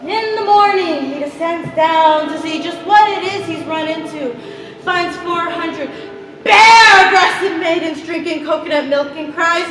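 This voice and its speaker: A woman's voice: very high voice, heavy background noise. A woman playing a scornful, exasperated Queen of Spain in a theatrical stage monologue. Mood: wry storytelling. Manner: theatrical, scornful, deliberate delivery. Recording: echoey theater stage recording.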